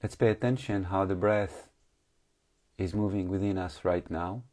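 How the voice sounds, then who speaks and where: A man with a deep, dark and relaxed voice leads a guided meditation. He gives calm instructions in short phrases, leaving long gaps between them. His English phrasing is slightly non-native. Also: moderate pace. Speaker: meditation teacher.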